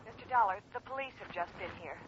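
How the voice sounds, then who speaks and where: A woman's voice: mid-range voice, lively intonation, quick pace, lightly acted. An actress playing an anxious, earnest young woman under suspicion, pleading her case in a 1950s radio drama. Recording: thin, noisy, phone-like vintage radio recording.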